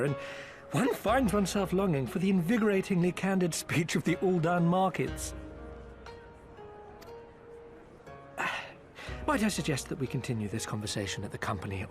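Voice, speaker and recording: Male actor as a foppish trade envoy: theatrical, high-ish, animated delivery, music underneath. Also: close to an everyday voice. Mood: teasing. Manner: theatrical, flowery and ingratiating. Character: smooth-talking foppish male merchant agent. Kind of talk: video game cutscene. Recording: with background music.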